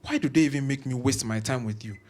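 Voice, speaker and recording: A man's voice: mid-range voice, fast, darkish tone, close to an everyday voice. Man playing a pompous, scolding Ghanaian professor. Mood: scornful, exasperated. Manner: pompous, grandiose wordy diction, scolding. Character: arrogant, scornful senior medical professor, a man. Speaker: voice actor in an audio drama. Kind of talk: scripted audio drama acting.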